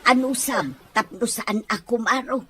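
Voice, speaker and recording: A woman's voice: fairly high pitch, a little slow, bright, forceful tone, slightly rough, full character voice. A woman with an Ilocano accent playing a concerned, fussing female helper in a radio drama.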